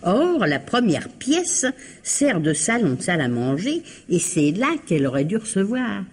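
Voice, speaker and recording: A nosy older neighbour woman in a mystery radio play. Her husky voice swings up and down as she confides her gossip with theatrical, knowing insistence. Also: medium pitch, very animated, a little slow. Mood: knowing, gossipy.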